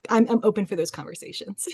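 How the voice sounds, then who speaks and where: A woman's voice: medium pitch, fast, a little mellow, husky, no room sound, studio quality. A woman speaking informally as a librarian in a panel discussion.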